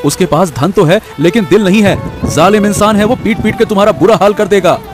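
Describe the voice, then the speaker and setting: A man's voice: high voice, fairly quick, over music, lightly acted. A man voicing a poor, earnest and anxious young woodcutter in a cartoon story, speaking hesitantly. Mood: worried. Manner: hesitant, stammering, pleading. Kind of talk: animated story voice acting.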